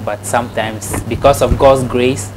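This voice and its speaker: A man from Ghana being interviewed about his farming, talking in a soft, easygoing way. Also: medium pitch, fairly even intonation, measured pace, noisy background. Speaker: interview guest, snail farmer. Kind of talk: casual interview.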